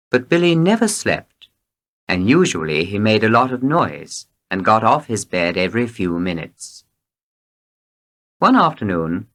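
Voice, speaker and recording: A man narrates a short, simple tale from a script. His voice sits fairly low and moves with very animated intonation, like a storyteller's. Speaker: storybook reader.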